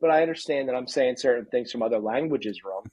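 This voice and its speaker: Husky-voiced Philly man, wry and easygoing. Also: mid-range voice, fast, darkish tone, no room sound. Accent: Philadelphia. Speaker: podcast co-host. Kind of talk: casual chat.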